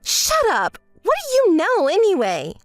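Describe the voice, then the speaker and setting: Woman playing a spoiled young schemer, very high voice, swooping intonation, whiny, hysterical, melodramatic story dub. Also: big pitch swings, measured pace, no echo, faint music behind, full character voice. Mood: furious. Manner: whiny, demanding, shrill outbursts.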